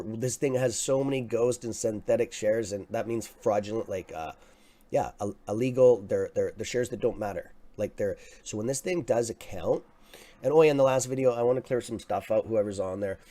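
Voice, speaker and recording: A man with a raspy voice hosting a live stock market stream, talking casually and excitably to his viewers. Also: mid-range voice, moderate pace. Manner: excitable, swears, talks to chat as "guys". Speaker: livestream stock trading host.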